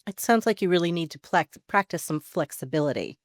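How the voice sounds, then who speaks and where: A podcast host asking smooth, prepared-sounding interview questions. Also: mid-range voice, animated, a little fast, no echo.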